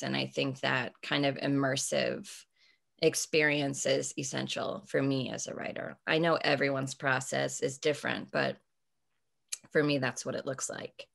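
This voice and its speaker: A woman's voice: rumbling low, gravelly. A woman in her forties, an author answering interview questions over a video call from home, thoughtful and searching, with many fillers and restarts. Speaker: author guest. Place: home room with bookshelves. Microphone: video call webcam.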